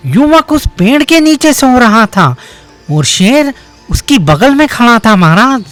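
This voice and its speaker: A woman's voice: on the high side, very animated, a little slow, soft background music. A woman voicing a loyal male soldier reporting urgently to the king.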